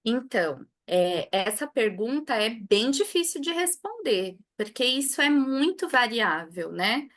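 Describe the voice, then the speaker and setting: A Brazilian woman with a slightly high voice, speaking as a language teacher in an online talk. She tells her experiences in an easygoing, conversational way and keeps checking in with a questioning "né". Her delivery stays unhurried and friendly. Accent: Brazilian Portuguese. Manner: relaxed storytelling, tags sentences with a questioning "né".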